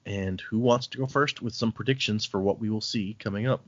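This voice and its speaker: A man's voice: on the low side, dry recording, dull audio. A man hosting a casual book podcast, recapping chapters and briefly voicing the characters' lines. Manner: recaps chapters, acting out lines in quick voices. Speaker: podcast host.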